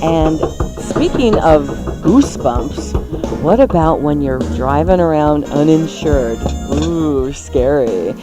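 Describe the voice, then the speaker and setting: Sultry woman radio host with swooping pitch. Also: animated. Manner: sultry, playful, drawn-out delivery. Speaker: radio show host and ad reader. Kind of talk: live-read radio ad patter. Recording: music behind the voice. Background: music.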